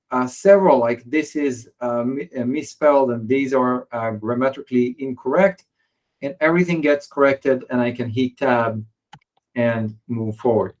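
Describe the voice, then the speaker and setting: Man demoing software, halting. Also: mid-range voice, poor audio. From Israel. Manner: hesitant, many fillers and restarts. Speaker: software presenter. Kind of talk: software demo walkthrough.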